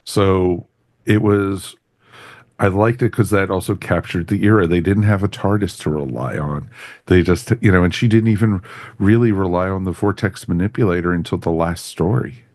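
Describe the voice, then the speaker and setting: A man's voice: deep voice, moderate pace, darkish tone, no echo, studio quality. A man hosting a podcast, giving a casual review in a rambling way that often circles back on phrases. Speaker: podcast host.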